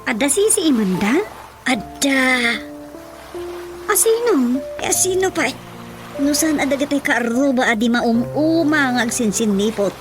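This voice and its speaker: Daughter: high voice, slowish delivery, Ilocano accent, concerned and questioning, radio drama with music bed. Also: female voice, animated. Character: concerned daughter chatting with her mother. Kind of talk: Filipino radio drama acting.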